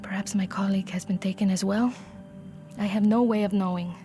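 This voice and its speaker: A woman plays a weary, guarded official in a troubled village from a video game. She speaks with a Spanish accent and a grave, exasperated manner, at a middling pitch. Light music runs underneath. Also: fairly even intonation, a little fast, mellow, close to an everyday voice. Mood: anxious, weary.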